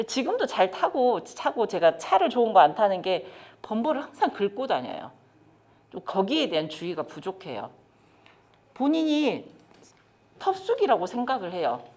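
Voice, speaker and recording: A woman's voice: medium pitch, unhurried. A middle-aged woman, giving a training lecture to caregivers and putting questions to the audience. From South Korea. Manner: lively, talks straight to the audience with questions. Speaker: lecturer on child development. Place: lecture room.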